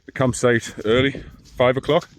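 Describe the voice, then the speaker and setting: A man, talking in a deep, mumbling voice in a casual walking vlog outdoors, rambling with dry self-mocking asides. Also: steady tone, normal speed, slight background noise. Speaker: long-distance hiker vlogger. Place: outdoors on a hill trail.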